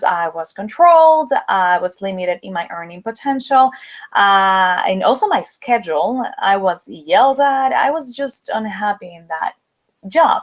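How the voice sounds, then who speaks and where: A young woman from the Dominican Republic with a Dominican Spanish accent, leading a motivational webinar in an upbeat, chatty way. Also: mid-range voice. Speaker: webinar host, planner business owner. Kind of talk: live webinar presentation.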